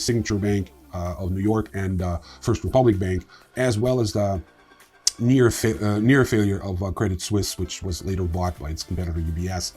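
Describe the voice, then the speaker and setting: A man's voice: on the low side, mellow, husky. A man hosting a news show, reading scripted reports in an informal way with casual asides. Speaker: host of a crypto news show.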